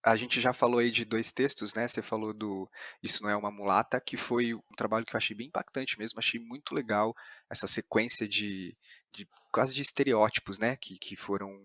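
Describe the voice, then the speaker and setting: A man's voice: fairly low pitch, fairly even intonation, brisk, bright, forceful tone, dry recording, studio quality. A man with a Brazilian accent hosting an interview podcast, moving between narrated summaries and conversational questions.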